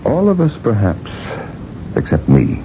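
An actor playing a proud, stubborn, wealthy older industrialist in a radio drama, with a gravelly, self-assured delivery. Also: male voice, lowish voice, huge pitch swings, measured pace, mellow, slight background noise. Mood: haughty, assured. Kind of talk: radio drama acting and first-person narration. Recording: dull vintage radio broadcast, music behind.

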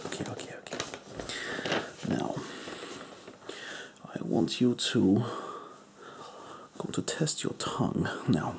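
A man voicing an eccentric, crude foreign male doctor in a deadpan whisper. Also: mid-range voice, vocal fry, background noise. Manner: whispery, slow, deadpan joking. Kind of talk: comedic ASMR roleplay.

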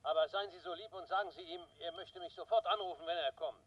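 A courteous, senior police gentleman in a radio drama. His voice comes over a dull telephone line, polite and official. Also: high-pitched, quick pace. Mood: courteous, keen. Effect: telephone filter. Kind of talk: German radio play acting.

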